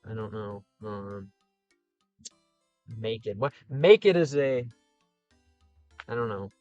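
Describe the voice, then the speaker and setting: A man with a Chicago Midwest accent rambles through viewer comments on a livestream. He thinks out loud, piling up fillers and false starts. The mic is close and dry, the sound a little dull, with faint music underneath. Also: fairly low pitch, lively intonation, takes it slowly, no echo. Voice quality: slightly whiny vowels. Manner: rambling, thinks aloud, many fillers and restarts. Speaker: streamer and game creator. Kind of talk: livestream, reading and answering comments.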